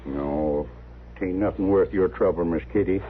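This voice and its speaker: A man's voice: low-pitched, moderate pace, lightly acted. An actor playing a modest, deferential frontier working man, folksy and polite, in a 1950s radio western. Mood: polite, sheepish. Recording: tinny old radio, slightly noisy.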